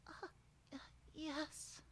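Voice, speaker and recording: A man voicing a timid, gentle young female cartoon pony in a hesitant, hushed, breathy falsetto. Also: extremely slow.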